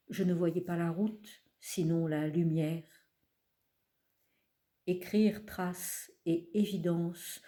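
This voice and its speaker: Slow, mellow woman reading poetry. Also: fairly low pitch. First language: not French. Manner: calm, measured, pausing between phrases. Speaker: poet reading her own work.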